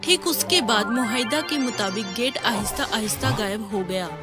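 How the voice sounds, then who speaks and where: A woman's voice: a little high, moderate pace, loud music behind the voice. A woman reading scripted fairy-tale narration as the storyteller.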